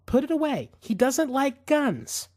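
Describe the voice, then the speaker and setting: A man's voice: extremely high pitch, sing-song, a little slow, a little mellow, no room sound, studio quality, pitched above the performer's own voice, exaggerated voice. A man voicing a frightened young mother who pleads urgently with a gunman in an audiobook thriller. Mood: insistent.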